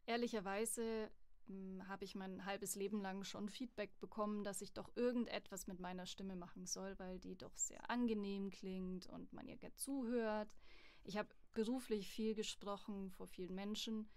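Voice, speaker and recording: A woman, a voice acting student, talking thoughtfully in a casual interview and often pausing with fillers. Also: mid-range voice, fairly even intonation, darkish tone, clear, resonant tone, dry recording. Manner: thoughtful, many hesitation fillers.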